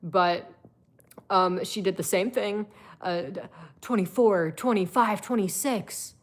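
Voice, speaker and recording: A woman's voice: mid-range voice, fairly even intonation, slow pace. A woman in her twenties giving a blunt, chatty live-stream monologue, full of fillers and swearing. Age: 20s.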